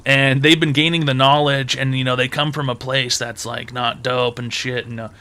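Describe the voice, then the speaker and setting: A man's voice: mid-range voice, little pitch movement, normal speed, pushed and bright, clean tone. A man hosting a call-in advice show, brash and mocking with crude, profane jokes.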